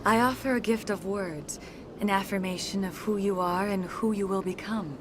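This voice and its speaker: An actress playing a serene, mystical alien courtesan in a video game, speaking formally and poetically. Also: female voice, medium pitch, moderate pace, noisy background, lightly acted. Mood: solemn. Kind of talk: video game cutscene acting. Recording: music behind.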